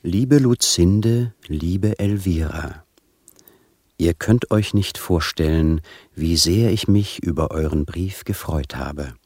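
A man acting in a radio crime play as a cultured, secretive, slightly snobbish convict with a husky voice and a refined, hesitant manner. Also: deep voice, takes it slowly, darkish tone, heavily acted. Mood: earnest, formal.

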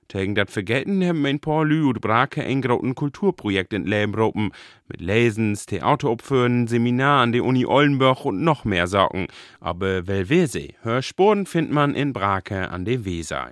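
A man with a northern Low German accent narrates a radio report from a script. His voice is fairly low. The sound is dry, as in a studio. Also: dry recording.

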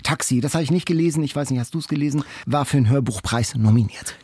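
A man's voice: mid-range voice, fast talker, warm tone, husky, no room sound. A man co-hosting a casual book podcast, speaking with emphatic enthusiasm and repeating words for effect. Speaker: book podcast host, quizmaster.

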